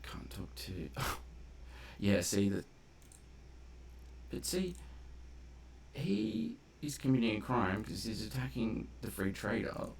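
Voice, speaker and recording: A man's voice: on the low side, expressive, takes it slowly, gravelly, pauses often, slight background noise. A man giving casual, playful gameplay commentary, half muttering his reactions to himself. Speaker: game streamer and commentator.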